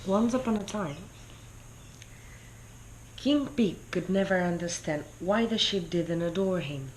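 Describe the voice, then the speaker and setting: A woman reading a children's picture book aloud in a deliberate, expressive storytelling way. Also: lowish voice, slight background noise, poor audio. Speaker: story reader.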